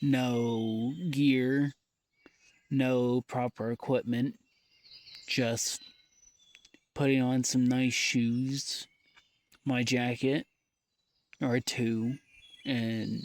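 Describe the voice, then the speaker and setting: Weary young man, slow halting diary talk. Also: medium pitch. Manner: weary, halting, trailing off with sighs. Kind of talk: personal audio diary monologue.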